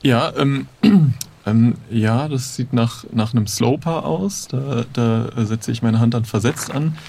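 Creaky-voiced man playing an adventure game. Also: mid-range voice, measured pace, warm tone. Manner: hesitant, thinking aloud. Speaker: player in an improvised adventure game. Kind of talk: casual improvised role-play, announcing moves.

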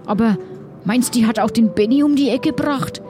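Woman playing chatty, high-voiced young-sounding male pirate. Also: fairly quick, over music, lightly acted. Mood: chatty, cheerful. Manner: rambling, colloquial, hesitant. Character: Kalle, chatty, loyal, naive male pirate. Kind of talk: improvised pirate adventure role-play.

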